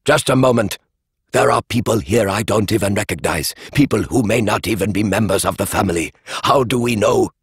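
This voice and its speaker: A man's voice: fairly low pitch, a little fast, breathy edge, no room sound. A man reading the part of a suspicious relative raising his voice to object. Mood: insistent. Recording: dry studio audiobook.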